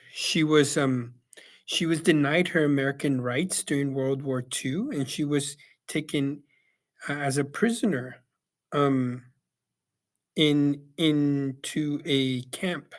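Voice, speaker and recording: Young male reviewer, slightly high voice, halting and filler-heavy delivery, casual. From El Salvador. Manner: hesitant, many ums and restarts. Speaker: book reviewer. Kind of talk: casual spoken book review. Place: Yakima, Washington.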